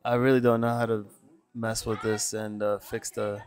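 A man's voice: fairly low pitch, little pitch movement, normal speed. A man hosting a live stream, rambling casually and grumbling with some swearing.

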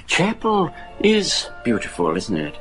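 A genteel English college provost in a gothic radio drama. He is hesitant and flustered, speaking slowly with animated pitch over a music bed. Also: full character voice. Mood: polite, genial. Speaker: actor.

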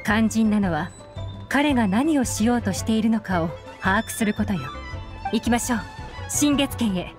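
A composed, commanding female intelligence officer played by an actress in a video game. Her voice sits a little high and stays assured, with music playing faintly behind it. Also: animated, moderate pace, close to an everyday voice. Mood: calm, assured. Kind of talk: Japanese game voice acting.

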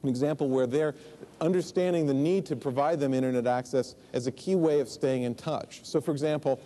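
A man's voice: mid-range voice, fairly quick, dark tone. A man in his forties giving a conference keynote on a large stage, explaining in a conversational way with asides and fillers, on a lapel mic. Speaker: keynote speaker.

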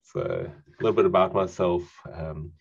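A middle-aged man with a South African accent gives a relaxed online presentation with lots of fillers, over a video call microphone. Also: low voice, moderate pace, vocal fry, breathy edge, lo-fi sound. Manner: relaxed, conversational, frequent fillers.

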